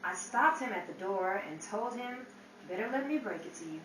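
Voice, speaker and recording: A woman's voice: mid-range voice, animated, moderate pace, fairly bright tone, close to an everyday voice. A woman playing a wisecracking, slangy 1930s nightclub go-between in a theatrical old-time radio style. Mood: swaggering, slangy. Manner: theatrical, jive-slang patter. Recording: roomy, distant mic, hissy background.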